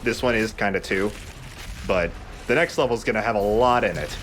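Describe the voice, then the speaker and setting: A man's voice: fairly low pitch, moderate pace, a bit bright, a little hiss or hum. A man hosting a video game let's play, chatting casually in a joking, casually profane way. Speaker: let's play host and player. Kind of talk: casual gaming commentary.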